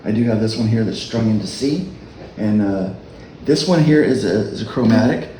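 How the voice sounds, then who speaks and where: A man with a low voice giving a relaxed, halting dulcimer lesson as a worship leader. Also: roomy sound, slight background noise, poor audio. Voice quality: low speaking voice. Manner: unhurried, halting explanation. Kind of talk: casual music tutorial.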